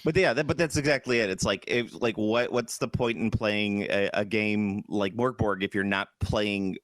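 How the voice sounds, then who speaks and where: A man's voice: fairly low pitch, moderate pace, no echo. A man co-hosting a casual gaming podcast, joking and laughing often, who slips into silly put-on accents. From Polish background. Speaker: podcast co-host. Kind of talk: casual chat.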